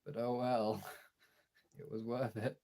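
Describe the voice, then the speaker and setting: A young man with a husky voice chatting casually and informally as a livestreamer, replying to his viewers as he goes. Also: medium pitch, steady tone, takes it slowly, dark tone. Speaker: streamer and video creator.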